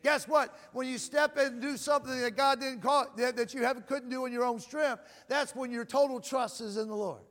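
A man preaching in a very high, piercing voice, stumbling and repeating himself as he pushes toward shouting. He keeps asking "Amen?" between lines. His voice rings in a long church reverb over faint music. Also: steady tone, brisk, few pauses. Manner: loud, says he shouts and builds to shouting. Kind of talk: sermon.

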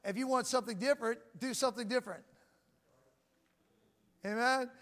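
A man preaching in a very high, piercing voice, stumbling and repeating himself as he pushes toward shouting. He keeps asking "Amen?" between lines. His voice rings in a long church reverb over faint music. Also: fairly quick. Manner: loud, says he shouts and builds to shouting. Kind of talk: sermon.

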